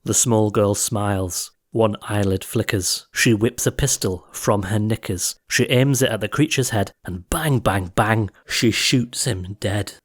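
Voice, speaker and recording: A man's voice: lowish voice, measured pace, hardly any pauses, breathy edge. A man reading scripted storybook verse as an audiobook narrator, with theatrical, rhythmic delivery.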